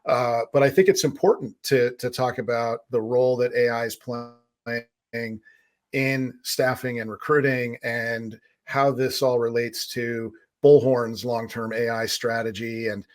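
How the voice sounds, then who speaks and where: A man's voice: mid-range voice, level tone, measured pace. A man hosting a business interview in a conversational style. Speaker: interview host.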